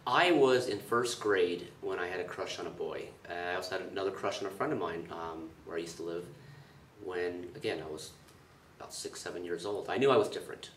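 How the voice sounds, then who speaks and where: A deep-voiced man in his fifties speaks haltingly in a documentary interview, often pausing on fillers. He is recorded from a distance in a roomy, echoing space. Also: fairly bright tone, a little hiss or hum. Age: 50s.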